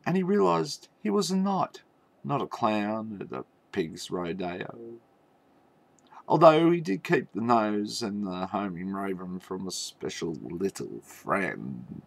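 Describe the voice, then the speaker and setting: A man telling a mock fairy tale in a theatrical, tongue-in-cheek way, with halting pauses and knowing asides. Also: on the low side, sing-song, vocal fry, dry recording. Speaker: storyteller.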